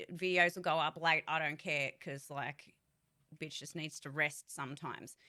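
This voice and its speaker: A woman's voice: lowish voice, pushed and bright. A young woman voice actor chatting frankly in a casual vlog, swearing freely and restarting her sentences as she talks. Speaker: voice actor and streamer. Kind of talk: candid casual vlog chat.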